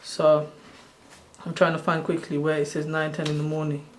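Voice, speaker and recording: A soft-spoken man in his thirties, originally from Sri Lanka, casually walking through his own screen in a loose, rambling way. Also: fairly high pitch, monotone, moderate pace, a little hiss or hum. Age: 30s. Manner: rambling, loose and self-correcting. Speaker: channel host. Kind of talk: casual screen-share walkthrough.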